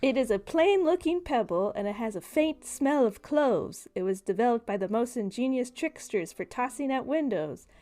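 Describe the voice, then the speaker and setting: Higher-voiced woman running a tabletop game. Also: expressive, normal speed, few pauses, mellow. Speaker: game master. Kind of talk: casual tabletop game narration.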